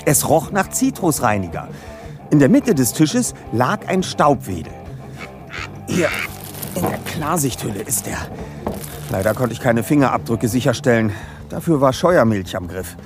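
A self-assured young detective leader reports in a precise, formal, matter-of-fact way. The mid-pitched voice moves in lively contours, with faint music underneath, as in an audio drama. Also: male voice, a little slow. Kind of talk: German audio drama acting.

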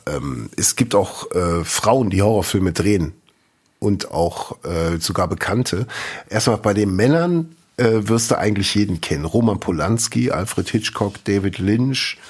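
A man with a low, slightly husky voice, co-hosting a podcast in relaxed chat. He rambles and hesitates, filling pauses with ums. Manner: rambling, hesitant, restarts with fillers. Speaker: podcast co-host. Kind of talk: casual chat.

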